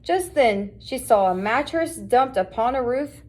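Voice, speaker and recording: A woman with a fairly high voice narrates a children's storybook. She is reading aloud from a scripted tale meant for young listeners. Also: lively intonation, a little slow, darkish tone. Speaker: storybook reader.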